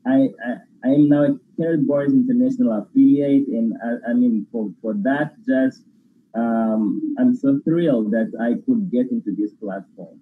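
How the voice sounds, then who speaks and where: A man's voice: mid-range voice, steady tone, moderate pace, a little hiss or hum. Angolan man on a tinny phone line. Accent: Angolan Portuguese. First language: Portuguese. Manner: effusive, grateful, laughs while talking. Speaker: guest. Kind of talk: casual interview chat.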